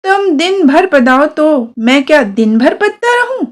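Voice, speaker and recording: A woman's voice: high-pitched, expressive, unhurried, voice pushed up. A woman storyteller voicing a young boy who argues indignantly with a playmate, in a protesting manner.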